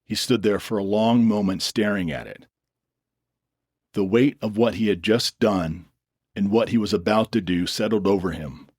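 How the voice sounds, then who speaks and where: Low-voiced man narrating a dramatic story. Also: fairly even intonation, moderate pace, a little mellow, slightly rough, dry recording, studio quality.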